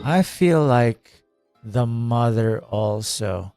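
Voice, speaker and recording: A man's voice: mid-range voice, expressive, very slow, darkish tone. Flippant man streaming a game, music behind. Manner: flippant, joking, slangy. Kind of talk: casual playthrough commentary.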